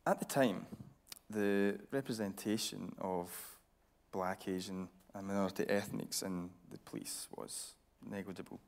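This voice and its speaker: A man with a fairly low voice and a strong creak in it. He works as an interviewer on a theatre stage, laying out context and asking questions in a measured, journalistic way. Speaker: interviewer, presenter, reporter. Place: radio theatre stage with an audience.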